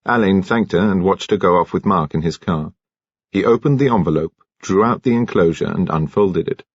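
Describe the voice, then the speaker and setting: Low, husky male audiobook narrator. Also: moderate pace, no room sound, lo-fi sound. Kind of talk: scripted story narration.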